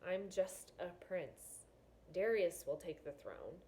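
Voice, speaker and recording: Guarded boy prince read by a woman, quiet, terse, downcast storybook dialogue. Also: on the low side, expressive, takes it slowly.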